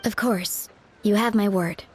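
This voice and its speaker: An actress playing a composed, discreet funeral parlor attendant in a fantasy video game, with a measured, formal, professional manner. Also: female voice, medium pitch, fairly even intonation, slight background noise, lightly acted. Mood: composed, courteous. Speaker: voice actor. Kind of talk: scripted video game dialogue.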